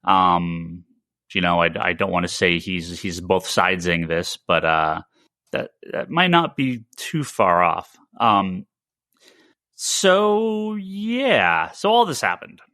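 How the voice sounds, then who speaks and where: A man with a fairly low, very bright and forward voice, recorded close and dry. He talks like a podcast host giving casual commentary. His delivery is halting, with stammered starts and repeated words. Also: big pitch swings, no echo. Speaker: podcast host and comic shop owner.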